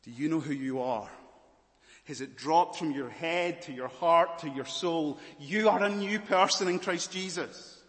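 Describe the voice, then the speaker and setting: Scottish preacher in an echoing hall. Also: male voice, on the high side, measured pace. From Malawi, raised as Scottish. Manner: swings from hushed to loud emphatic exhortation. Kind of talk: sermon.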